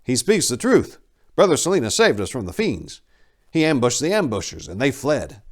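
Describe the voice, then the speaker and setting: An earnest, devout warrior monk played by a male storyteller, speaking solemnly and with emphasis. The delivery suits a dark fantasy storybook. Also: medium pitch, very animated, normal speed, voice pushed up. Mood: earnest, emphatic. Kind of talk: dramatic storybook reading.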